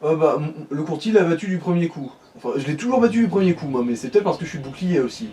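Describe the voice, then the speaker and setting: A man's voice: a little high, lively intonation, fast, dark tone, distant mic. A man co-commentating a video game in a casual chat, offering tips and remarks to the player. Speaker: let's play co-commentator. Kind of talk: casual advisory gaming chat. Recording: faint background noise.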